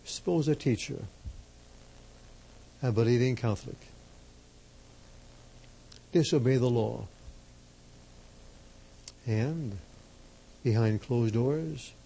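Slow, gravelly-voiced priest preaching. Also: male voice, medium pitch, mellow, poor audio. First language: Slovak. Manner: emphatic, measured, repeats key phrases. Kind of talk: slow deliberate sermon.

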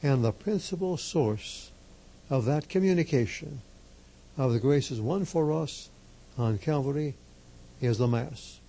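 Slow, gravelly-voiced priest preaching. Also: male voice, mid-range voice, animated, dark tone. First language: Slovak. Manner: emphatic, measured, repeats key phrases. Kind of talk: slow deliberate sermon.

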